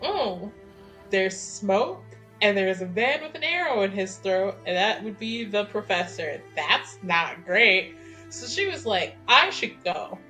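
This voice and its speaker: A woman's voice: mid-range voice, big pitch swings, slow, pushed and bright, faint music behind, poor audio. A woman playing a tabletop game, talking casually in a wry way with some swearing. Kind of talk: casual, animated game chat.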